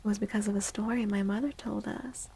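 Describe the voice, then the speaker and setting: A soft-spoken woman hosting an ASMR livestream, gentle and unhurried, with a breathy, often whispered voice. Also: mid-range voice, fairly even intonation, slight background noise, rough recording. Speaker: livestream host and tarot reader.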